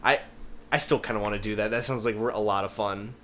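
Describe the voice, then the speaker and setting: A man's voice: fairly low pitch, fairly even intonation, fairly quick, fairly bright tone. A man co-hosting a podcast, telling rambling anecdotes in casual chat and swearing freely. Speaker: podcast host. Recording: noticeable background noise.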